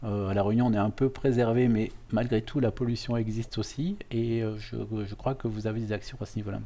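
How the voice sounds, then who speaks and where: Mellow-voiced man hosting an interview. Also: on the low side, fairly even intonation, fast talker. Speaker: host, university professor interviewing a guest. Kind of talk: educational interview, conversational.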